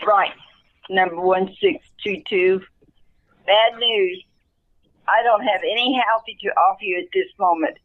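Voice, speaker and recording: A woman's voice: medium pitch, measured pace, long pauses, phone-quality sound, natural voice. A woman playing a tired, impersonal city caseworker in an amateur radio play, brusque and dismissive. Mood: brisk, impersonal.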